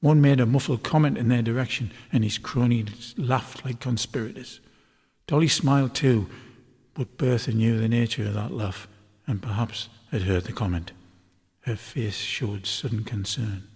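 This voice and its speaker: A man reading a story aloud as scripted narration, in a measured, dramatic literary manner. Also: mid-range voice, a little mellow, slightly rough. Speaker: author reading a novel chapter aloud. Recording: long hall-like reverb.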